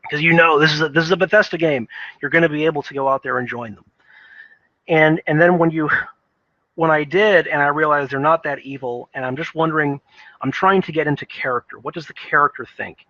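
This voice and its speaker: A man's voice: a little high, brisk, bright, forceful tone, no echo, lo-fi sound. A man giving casual, unscripted video game commentary in a rambling, emphatic, earnest way, often stammering over repeated words.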